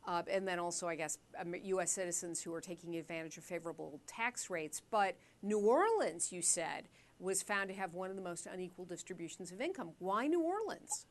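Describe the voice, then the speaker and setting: A woman's voice: fairly low pitch, animated, brisk, hardly any pauses, a little hiss or hum. A woman hosting an interview show, asking conversational, curious questions while losing her voice. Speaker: show host.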